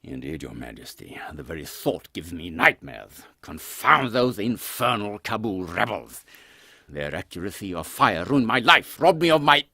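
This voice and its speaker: A deferential British army captain in a steampunk audio comedy. His low, creaky voice swings widely in pitch as he blusters and stammers, pompous and easily shocked. The recording is very dry. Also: big pitch swings, normal speed, sharp tone, no echo, full character voice. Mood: embarrassed, aggrieved. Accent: British English.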